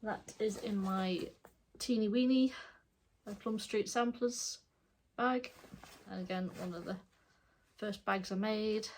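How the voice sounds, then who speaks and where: A woman's voice: mid-range voice, steady tone, slow pace, a little mellow, slight background noise, poor audio. Chatty stuffed-up woman. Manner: chatty, rambling, self-correcting. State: stuffed up, congested. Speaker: cross stitch vlogger. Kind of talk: casual show-and-tell chat.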